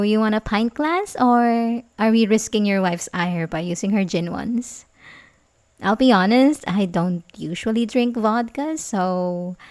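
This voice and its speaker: A woman's voice: a little high, a little mellow. A young woman acting an ASMR roleplay as a sweet, coaxing, secretly obsessive babysitter, speaking hesitantly and intimately with little giggles.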